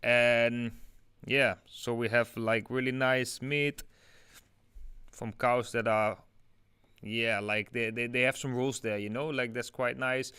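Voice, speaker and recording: Dutch-accented guy rambling casually on a live stream, smooth clear voice, dry close sound. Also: medium pitch, flat delivery, slow, bright, forceful tone, no room sound. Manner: chatty, many fillers like "like" and "yeah". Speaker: crypto livestream host. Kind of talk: casual live chart analysis.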